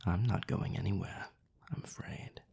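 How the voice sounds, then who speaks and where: A man's voice: sits low, steady tone, normal speed, creaky, darkish tone, husky, whispered, exaggerated voice. A man playing a sneering old vampire rival. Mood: cool, cutting. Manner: dry, mocking, formally polite. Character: haughty sardonic male vampire, old rival. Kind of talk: audio roleplay acting.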